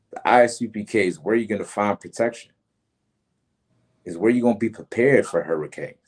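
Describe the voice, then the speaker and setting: A man's voice: deep voice, darkish tone, husky. A man leading a religious class, preaching in casual slangy talk and often tacking on "man". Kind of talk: street preaching lesson. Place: outdoors during a storm.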